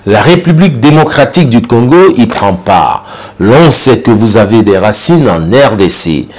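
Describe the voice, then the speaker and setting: A man's voice: mid-range voice, sing-song, normal speed, a little mellow, husky. A man hosting a special interview show, asking formal questions in an announcer-like way. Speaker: TV show host.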